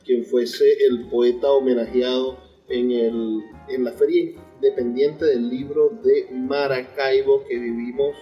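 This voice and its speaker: A man with a Venezuelan accent hosting a literary radio interview, speaking as presenter and reader. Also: medium pitch. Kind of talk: literary radio interview, reading poetry aloud at times. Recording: dull lo-fi radio sound, music behind. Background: music.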